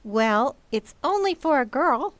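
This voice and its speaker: A woman's voice: sits high, animated, unhurried, dry recording. A woman playing a cheeky, innocent, plain-spoken young boy in an old-time radio comedy. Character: cheeky young boy, the Nelsons' little son.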